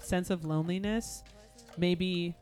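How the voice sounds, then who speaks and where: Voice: medium pitch, fairly even intonation, a little slow, darkish tone. Podcast host, mid-range voice, reflective interviewing, frequent "mm" backchannels, faint music behind.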